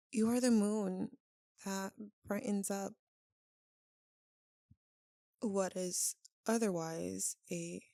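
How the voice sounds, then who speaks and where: A melancholy female vampire lover, played by a woman for an ASMR roleplay, speaks extremely slowly in a creaky voice. She is tender and tormented, hesitating with long, heavy pauses. The sound is close and very dry, as if confided to one listener. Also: mid-range voice, darkish tone, dry recording. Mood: tender, devoted. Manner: hesitant, halting, earnest, with long dramatic pauses. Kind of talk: slow, intimate ASMR roleplay.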